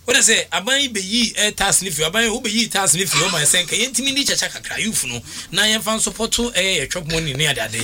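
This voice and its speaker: A man's voice: high voice, fast talker, hardly any pauses, slight background noise. A man arguing about football as a pundit, mixing languages and hesitating with repeated fillers. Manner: animated, mixes languages, stammers eh. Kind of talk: heated sports discussion.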